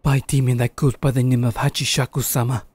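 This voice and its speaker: A man playing a stern, grave old village grandfather in a horror audio drama, speaking solemnly and deliberately. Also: mid-range voice, level tone, darkish tone, husky, no room sound, close to an everyday voice.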